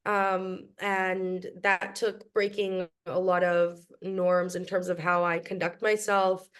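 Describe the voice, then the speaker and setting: A woman's voice: lowish voice, little pitch movement, slow pace, clear, resonant tone. A young woman from New York, a podcast guest who speaks in a reflective, casual way with frequent ums and restarts. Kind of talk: casual interview chat.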